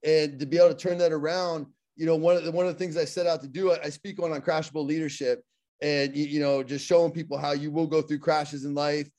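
A middle-aged man speaks with a Pittsburgh accent in a slightly high voice. He talks earnestly in a loose interview style, often repeating words and restarting his sentences. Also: little pitch movement, quick pace, a little mellow. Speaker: guest, motivational speaker. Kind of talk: casual interview talk.

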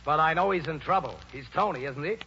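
An actor playing a genial, blunt theatrical manager with colloquial, emphatic speech in a 1940s radio play. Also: male voice, fairly high pitch, quick pace, pushed and bright, breathy edge, exaggerated voice. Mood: brisk, blunt. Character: brash, genial, folksy older theatrical manager. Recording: dull, noisy 1940s radio recording.

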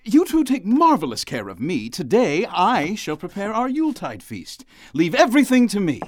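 An actor playing an eccentric, exuberant writer in a radio drama, with florid, theatrical, old-fashioned courtly speech. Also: male voice, high-pitched, wildly swooping pitch, moderate pace, slight background noise. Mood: manic, exuberant.

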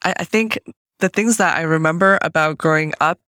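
A woman's voice: low-pitched, fairly quick, fairly bright tone, no echo. Chatty woman guest, dry close sound. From United States (Colorado). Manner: chatty, light laughs between thoughts. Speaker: podcast guest, entrepreneur. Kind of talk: casual interview chat.

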